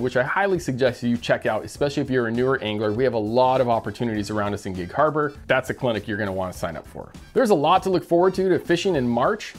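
Middle-aged man, upbeat and plain-spoken, lapel mic, small studio room, soft music underneath. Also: mid-range voice. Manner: upbeat, enthusiastic, plain-spoken with fishing slang. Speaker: fly shop owner and host. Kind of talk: casual shop update vlog.

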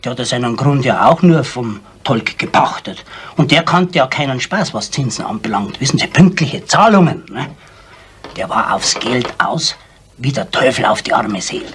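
A man's voice: mid-range voice, lively intonation, normal speed, a bit bright, natural voice. An actor playing a matter-of-fact local man in a 1970s radio crime drama, explaining things in a folksy way. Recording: faint hiss on old radio recording.

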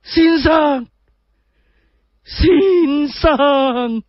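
Man playing a high-pitched, panicked disciple. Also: drawn-out words, no room sound, exaggerated voice. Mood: fearful pleading. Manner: urgent, pleading repetition. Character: anxious, devoted young male disciple. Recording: dull muffled recording.

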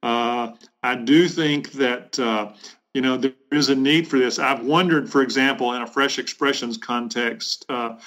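A middle-aged man, a bishop answering interview questions in a reflective, halting way with measured phrasing. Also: medium pitch, a bit bright, highs cut off. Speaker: bishop, podcast guest. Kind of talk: thoughtful interview conversation.

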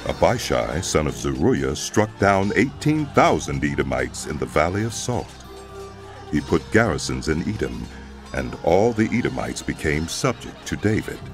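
A man narrating a dramatized scripture audiobook in a solemn, deliberate storytelling style. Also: extremely low pitch, big pitch swings. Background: music.

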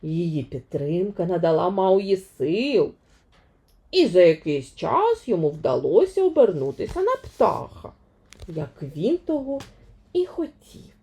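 Slow, highly expressive woman storyteller. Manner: dramatic, with deliberate emphasis. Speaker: children's storyteller. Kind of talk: expressive scripted fairy tale narration.

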